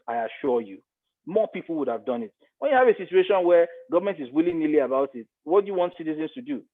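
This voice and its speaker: A man with a Nigerian accent in a podcast discussion, explaining earnestly and repeating points for emphasis. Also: a little high. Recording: muffled phone-like audio.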